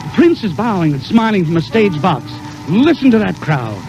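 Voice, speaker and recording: A man's voice: high voice, sing-song, normal speed, loud music behind the voice. A man narrating a 1930s radio show as its announcer, in a dramatic, theatrical showman's style. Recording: noisy, muffled 1932 radio recording.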